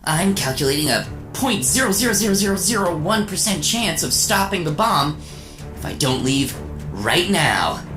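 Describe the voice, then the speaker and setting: A woman's voice: lowish voice, big pitch swings, moderate pace. A woman playing an earnest, determined boy robot superhero in a melodramatic radio serial. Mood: heroic resolve. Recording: roomy echo, music underneath.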